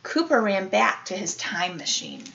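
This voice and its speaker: A woman reading a children's picture book aloud like an elementary teacher, animated, with dramatic emphasis and playful sound-effect words. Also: mid-range voice, unhurried, vocal fry, muffled recording, a little hiss or hum.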